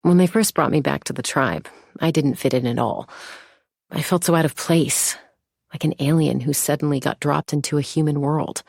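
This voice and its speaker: A woman voicing a confident young female gunslinger and peacemaker in a video game, with a cool, measured, self-assured delivery. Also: low voice, gravelly, darkish tone, slightly rough, dry recording, studio quality, heavily acted. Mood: earnest. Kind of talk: scripted game character voice lines.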